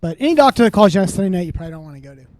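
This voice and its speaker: A man's voice: high-pitched, sing-song, racing, a little mellow. A man co-hosting a podcast in casual, bantering talk. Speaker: podcast co-host. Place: room recording for a video podcast. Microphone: podcast microphone in front of the face.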